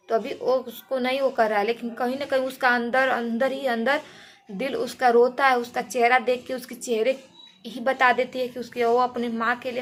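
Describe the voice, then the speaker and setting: Higher-pitched woman giving emphatic commentary. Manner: emphatic, repeats phrases for stress. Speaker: reaction video host. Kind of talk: informal commentary on a viral dispute, addressed to viewers.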